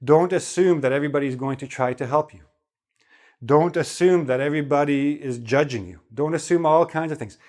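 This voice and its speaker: A man giving a spoken English lesson in a relaxed, chatty way, often checking in with the listener. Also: fairly high pitch, fairly quick. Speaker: English teacher.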